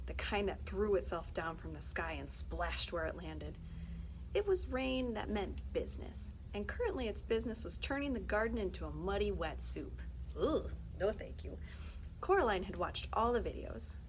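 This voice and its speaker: A woman's voice: mid-range voice, animated, moderate pace, a little hiss or hum, rough recording. A woman reads a story aloud expressively, dramatically, with wry asides. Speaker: library story reader.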